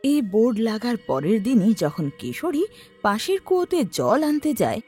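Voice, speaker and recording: A woman's voice: fairly high pitch, very animated, normal speed, warm tone, husky. A woman narrating a scripted horror story in a dramatic storytelling style. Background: background music.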